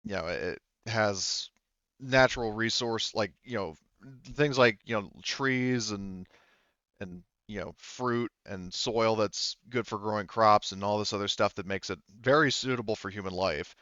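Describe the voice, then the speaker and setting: A man voicing a veteran colonial prospector in a tabletop game, casual and forthcoming, explaining in a rambling, halting way. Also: lowish voice, bright, forceful tone, clean tone, no room sound, muffled recording. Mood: explanatory.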